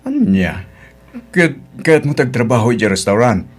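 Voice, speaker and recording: Practical, plain-spoken Ilocano uncle. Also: male voice, mid-range voice, big pitch swings, moderate pace, slightly rough. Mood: advising. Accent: Filipino, Ilocano. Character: practical middle-aged uncle, restaurant boss, helpful and plain-spoken. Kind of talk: Ilocano audio drama acting.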